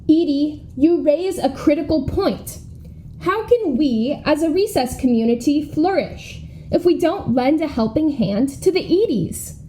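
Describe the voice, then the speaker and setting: A woman's voice: sits high, lively intonation, unhurried, mellow. A young woman playing an earnest, pompous schoolgirl running for class president in a comic radio play, speechifying grandly. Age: young adult.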